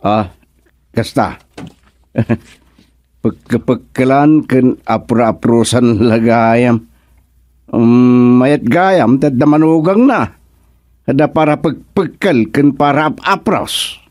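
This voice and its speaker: An actor with an Ilocano accent playing a slow-witted, mischievous village man in a comedy radio drama, humming and repeating words. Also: mid-range voice, darkish tone, dry recording, studio quality, lightly acted. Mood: pleased, dim.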